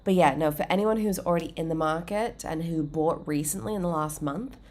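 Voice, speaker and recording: A woman's voice: sits low, brisk, a little mellow, slight background noise. A woman hosting her own livestream, chatting casually and full of fillers, a bit congested and under the weather. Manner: chatty, filler-heavy, upbeat. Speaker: livestream host.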